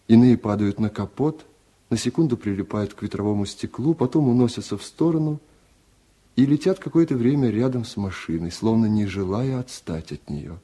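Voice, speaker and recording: Low husky male literary narrator. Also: dark tone. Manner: measured, reflective, restrained. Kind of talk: literary reading for radio.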